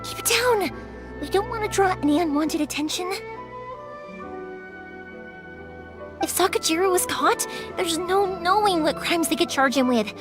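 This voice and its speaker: A woman's voice: very high-pitched, moderate pace, over music. A woman voicing a caring young woman who makes fireworks in a video game, chatty and reassuring. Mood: hushed urgency. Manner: animated, reassuring, casually chatty. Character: cheerful, caring young woman fireworks maker, loyal to customers. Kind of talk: English video game voice acting.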